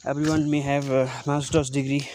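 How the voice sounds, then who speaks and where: A young man from Sikkim, India, with an Indian English accent and Nepali as his first language, hosting his channel in a casual, unscripted talk with many pauses and "uh" fillers. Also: medium pitch, level tone. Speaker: YouTube channel host. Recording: clear outdoor insect and animal noise.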